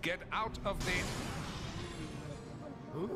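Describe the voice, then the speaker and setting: A man's voice: sits high, loud music behind the voice. An actor playing a pompous male conqueror, grandiose and fussy, in a game cutscene. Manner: grandiose, fussy, slow drawl. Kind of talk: video game cutscene acting.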